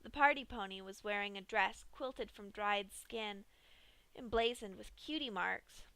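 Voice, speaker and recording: Young female story reader, bright and higher voice, dry close mic. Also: measured pace, clean tone, no echo, low-quality recording. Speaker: fanfic reader. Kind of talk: dramatic story reading.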